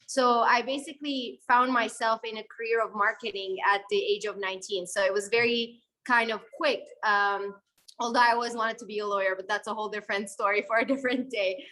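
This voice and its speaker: A young woman from Syria, a guest videographer, telling her story in a lively, conversational interview answer with many fillers and restarts. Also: a little high, brisk, poor audio.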